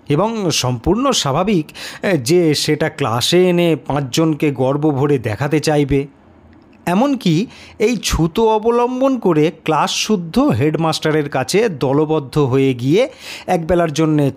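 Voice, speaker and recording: A man narrating a literary story in the first person, reading from a script. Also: on the high side.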